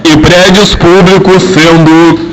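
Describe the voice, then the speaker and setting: A man's voice: a little high, little pitch movement, slow, rough recording. A young man playing a formal radio news announcer, reading scripted narration.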